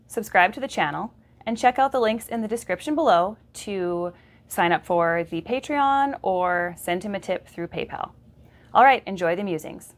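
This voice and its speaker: A woman delivering a short scripted promotional message asking viewers to support a channel. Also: medium pitch.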